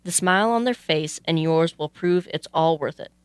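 A woman's voice: sits low, normal speed. A woman presenting on a youth radio show, reading scripted narration.

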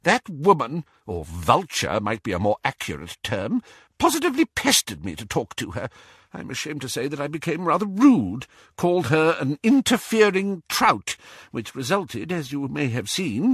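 A man voicing a frail, fussy old male wizard with a high-pitched, wheezy voice. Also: wildly swooping pitch, moderate pace, fairly bright tone, slightly rough, no echo, studio quality, heavily acted. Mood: indignant. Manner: flustered, tearful, indignant. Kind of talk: audiobook character voice.

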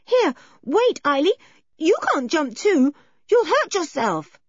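A woman voicing an excitable, eager young girl on an adventure in a storybook reading. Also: high-pitched, moderate pace, slightly rough, no echo, dull audio, voice pushed up, full character voice. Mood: excited. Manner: eager, exclamatory.

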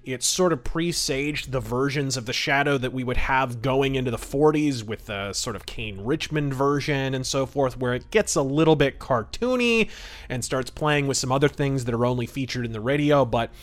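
A man's voice: medium pitch, moderate pace. A man hosting a podcast, giving film review and history commentary in a conversational, opinionated, wryly amused way. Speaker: podcast host.

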